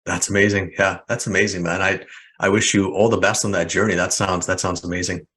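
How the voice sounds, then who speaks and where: A man's voice: deep voice, level tone, a little fast, fairly bright tone. A man in his forties, raised in Canada after a childhood in Hungary, hosting a casual podcast interview in a friendly, rambling way with lots of fillers. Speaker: podcast host.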